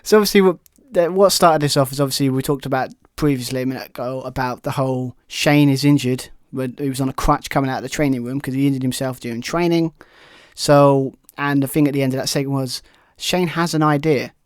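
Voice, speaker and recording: A man's voice: medium pitch, steady tone, a little fast, no echo, clean studio sound. A man from Britain co-hosting a wrestling podcast, talking casually in rambling, self-correcting, opinionated stretches. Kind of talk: casual chat.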